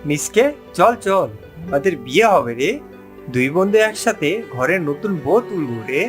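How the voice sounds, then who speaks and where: A man voicing an excitable, hapless young animal groom in a comic cartoon, exclaiming melodramatically. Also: fairly high pitch, normal speed, music in the background, close to an everyday voice. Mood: gleeful. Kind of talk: exaggerated cartoon voice acting.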